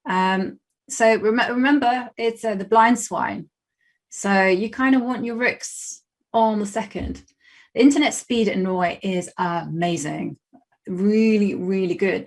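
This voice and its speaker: Chatty British woman streaming chess. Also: medium pitch. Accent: British English. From Britain. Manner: chatty, rambling, many fillers and restarts. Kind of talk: casual livestream chat while playing chess.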